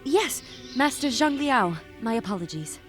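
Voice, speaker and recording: A woman's voice: a little high, sing-song, a little slow, faint music behind. A woman playing a solemn, dutiful, grieving young warrior in a fan motion comic, with restrained delivery. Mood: deferential. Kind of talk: fan motion comic acting, first-person narration.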